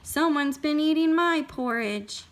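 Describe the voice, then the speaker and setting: A woman's voice: high-pitched, measured pace, voice pushed up. A woman voicing a medium-sized female Mama Bear from a storybook, making a declarative complaint. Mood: puzzled complaint.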